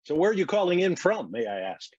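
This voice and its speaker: Husky male motorsport interviewer, dull audio. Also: sits high, sing-song, fast talker, a little mellow, no room sound. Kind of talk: broadcast interview, scripted intro.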